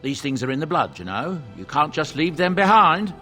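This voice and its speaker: Genial dwarven trader for a fantasy game, chatty and self-justifying, lively higher-pitched delivery. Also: male voice, moderate pace, soft background music. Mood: wry reminiscing. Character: folksy, genial dwarven merchant, protective adoptive father. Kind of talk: video game character dialogue, storytelling.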